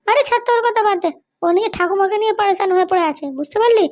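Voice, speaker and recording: A woman's voice: extremely high pitch, rapid-fire, a little mellow. A woman voicing a bossy, scheming female election candidate in a comedy cartoon. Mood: dismissive. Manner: dismissive, assuring. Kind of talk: amateur comedy cartoon dub.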